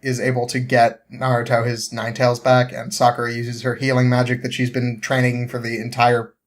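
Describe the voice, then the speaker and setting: A man telling a story casually as a podcast guest, halting and rambling as he goes, with an amused manner. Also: medium pitch, monotone.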